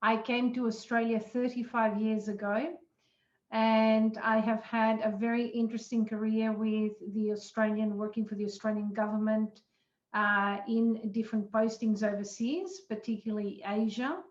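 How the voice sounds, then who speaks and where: A middle-aged woman from Portugal speaks in a measured, formal way as a consultant guest. Her pitch sits slightly high and stays flat and even. The sound is roomy and a bit muffled. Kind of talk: casual panel chat. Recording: echoey, muffled room sound.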